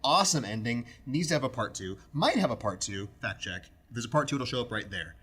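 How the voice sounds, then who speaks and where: A man talks casually as a podcast co-host. His pitch sits in the middle but moves around a lot. He is irreverent and slangy, given to exasperated rants. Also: expressive, fairly quick, a bit bright, breathy edge. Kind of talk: casual banter about video game movies.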